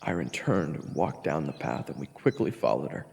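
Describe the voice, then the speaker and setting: A man's voice: extremely low pitch, very animated, normal speed, vocal fry, a little mellow, slightly rough, long reverb tail. A man narrating a scripted fantasy story in the first person. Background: faint music.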